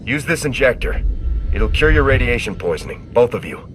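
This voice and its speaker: An actor playing a steady soldier in a video game, speaking in a measured, direct, firm way. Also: male voice, medium pitch, slight background noise, natural voice. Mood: firm, calm. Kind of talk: video game dialogue acting.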